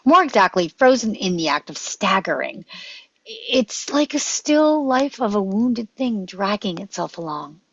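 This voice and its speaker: A woman's voice: mid-range voice, big pitch swings, unhurried, a bit bright, breathy edge. A woman reading stage directions as dramatic scripted narration at a table read. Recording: dull, dry lo-fi microphone.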